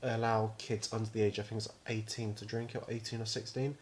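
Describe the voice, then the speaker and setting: A man's voice: fairly low pitch, flat delivery, fast, slight background noise. A man in his twenties co-hosting a podcast, chatting casually, rambling and swearing offhandedly. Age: 20s. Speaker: podcast co-host. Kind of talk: casual chat.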